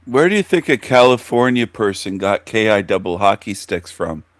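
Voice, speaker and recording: A man's voice: on the low side, expressive, moderate pace, dry recording. A man giving scornful commentary, mocking and sneering at a rival's clip. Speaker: commentary youtuber.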